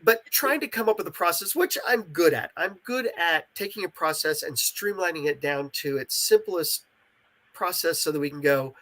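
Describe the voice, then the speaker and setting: A man's voice: fairly high pitch, expressive, moderate pace, sharp tone. A talkative man explaining his creative work in a casual interview, stressing points by repeating them. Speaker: interviewed writer and creator of interactive audio dramas.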